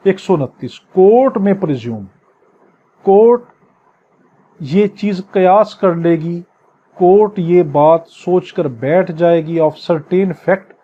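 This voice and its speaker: Pakistani guy teaching law, high voice but kinda dark, takes his time, repeats key stuff. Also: slow, dull audio. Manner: emphatic, didactic, repeats key points. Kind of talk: exam-prep law lecture, reading clauses aloud and explaining them.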